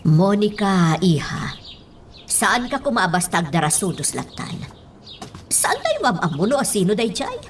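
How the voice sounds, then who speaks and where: A woman's voice: mid-range voice, sing-song, a little slow, noisy background. An actress playing a cautious, worried middle-aged mother in a radio drama. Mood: gently admonishing.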